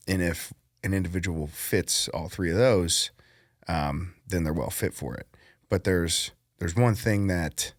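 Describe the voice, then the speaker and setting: A man with a low, deep voice tells his story at an easy pace and laughs often. The sound is dry and close, with no room behind it. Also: no room sound. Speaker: podcast guest. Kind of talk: casual chat.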